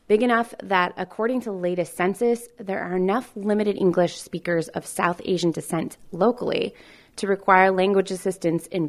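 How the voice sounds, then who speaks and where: A woman radio reporter narrating a news feature in a clear, measured way, with friendly questions in her interviews. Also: on the low side.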